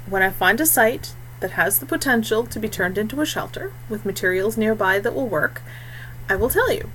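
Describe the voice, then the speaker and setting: A calm young woman of the forest, voiced by a female narrator speaks in a storybook with patient, quiet assurance. Her pitch is medium, and noise sits behind the voice. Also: normal speed, close to an everyday voice, rough recording. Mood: patient, practical.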